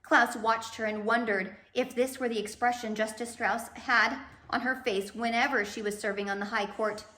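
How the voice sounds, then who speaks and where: A woman reading a children's novel aloud in an expressive, dramatic storytelling manner. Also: fairly high pitch, moderate pace, reverberant, slight background noise. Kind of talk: animated storybook read-aloud.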